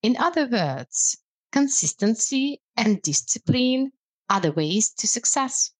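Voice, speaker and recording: This is a woman whose first language is Russian. She co-hosts a podcast as a language lecturer and talks slowly, with a lively rise and fall in her pitch. Her voice is recorded close and dry, and she explains her tips in a measured way with a few hesitant fillers. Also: no echo. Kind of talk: podcast conversation about language learning.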